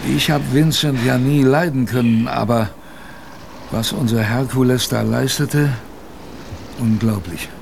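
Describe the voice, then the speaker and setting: Creaky, weary sailor narrator. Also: male voice, medium pitch, unhurried, background noise. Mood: reflective, exhausted. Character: weary polar expedition sailor recounting his ordeal. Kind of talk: first-person radio drama narration.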